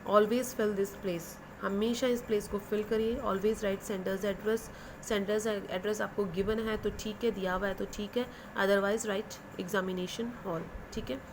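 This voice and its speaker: A woman's voice: mid-range voice, steady tone, takes it slowly, noisy background. A woman with an Indian accent teaching English to school students, explaining instructively and switching between Hindi and English phrases as she repeats and translates.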